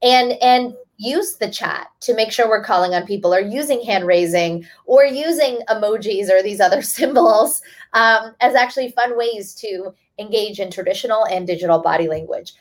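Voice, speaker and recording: A woman's voice: medium pitch, normal speed. Earnest woman explaining on a video call. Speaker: author and guest speaker. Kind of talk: webinar talk.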